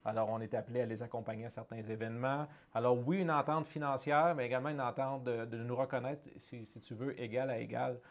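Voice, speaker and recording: A man's voice: medium pitch, level tone, fast, few pauses. A middle-aged man with a Canadian French accent, answering interview questions earnestly, often restarting phrases as he searches for words. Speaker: guest, head of a francophone economic development organization. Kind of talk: conversational interview.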